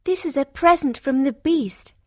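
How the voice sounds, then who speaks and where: A woman voices a kind young fairytale maiden with a high, sweet voice. She speaks in polite, simple storybook sentences. The sound is dry, without any room around it. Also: normal speed, no room sound, lightly acted. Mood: grateful, content. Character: gentle, kind young Beauty, a fairytale heroine. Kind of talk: fairytale storybook dialogue for English learners.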